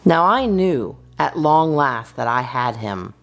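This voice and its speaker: A woman reading a children's storybook in an expressive, deliberate narrating style. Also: sits low, faint music behind. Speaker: storyteller, children's channel host.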